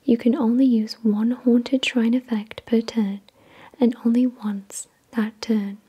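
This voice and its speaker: A woman whispering softly and breathily in ASMR style, reading aloud in a deliberate, measured way. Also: a little high, flat delivery, slightly rough, dull audio. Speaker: ASMR video creator and host. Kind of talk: soft-spoken ASMR, reading card text aloud.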